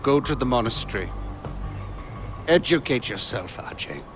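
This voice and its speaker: A man's voice: mid-range voice, lively intonation, normal speed, natural voice. An actor playing an angry male rebel leader in a video game cutscene, blunt and accusing. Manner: scornful, forceful. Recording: loud music underneath.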